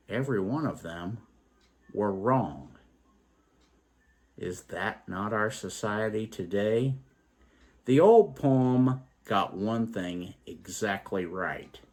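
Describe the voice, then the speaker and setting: Slow, theatrical male storyteller with lively pitch. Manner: theatrical, emphatic, deliberate. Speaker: storyteller and host. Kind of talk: dramatic poetry recital and moral reflection.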